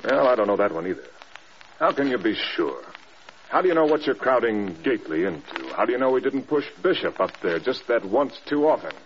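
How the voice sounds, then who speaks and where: A man's voice: mid-range voice, big pitch swings. An American man acting a stern, burdened air force general in a mid-century radio drama, with reserved military speech. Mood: weary, firm. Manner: halting, reserved, clipped military talk. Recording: dull old radio recording with noise.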